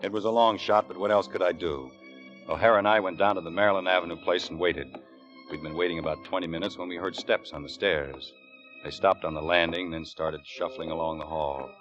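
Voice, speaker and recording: An American man playing a world-weary police captain in a 1940s radio drama, narrating and questioning in a measured, dry way. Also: deep voice, fairly even intonation, lightly acted. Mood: grim narration. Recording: dull restored 1940s radio recording, music.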